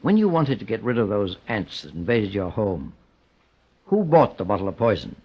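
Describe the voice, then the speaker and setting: A man's voice: lowish voice, big pitch swings, normal speed, breathy edge. An actor playing a shrewd, composed male private detective in an old-time radio drama, probing, formal and authoritative. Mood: coolly probing. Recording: dull old radio recording.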